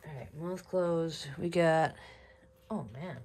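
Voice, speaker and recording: A young man narrating his ice fishing to the camera, excitable and quick to exclaim, recorded in a small wooden fish house. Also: fairly high pitch. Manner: excitable, swears and exclaims at bites. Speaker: fishing vlogger. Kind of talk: excited casual commentary.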